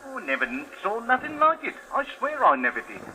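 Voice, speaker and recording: Bright, bashful man in the street. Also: very high-pitched, wildly swooping pitch, heavily acted, rough recording. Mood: flustered. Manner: halting, bashful. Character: reluctant working-class London man in the street. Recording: thin old radio recording, faint noise.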